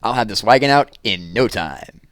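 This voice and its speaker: A man's voice: fairly low pitch, expressive, moderate pace, a bit bright, dry recording. A man as a cocky storybook billy goat, pushy, bragging, dry close sound. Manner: snorting, bragging.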